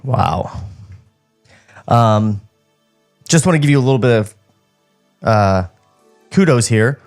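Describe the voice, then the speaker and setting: A man's voice: mid-range voice, expressive, takes it slowly, creaky, faint music behind. A man co-hosting a podcast in casual banter, blunt and joking, with frequent swearing. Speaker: podcast co-host. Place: podcast studio. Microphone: podcast studio microphone.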